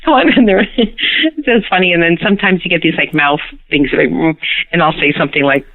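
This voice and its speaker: A middle-aged woman from Canada, whose first language is Hungarian, chatting as a guest actress in an interview. She talks in a lively way, laughs often, and repeats words as she starts her sentences. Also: fairly low pitch, a little fast. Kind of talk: casual interview chat. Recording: tinny phone line.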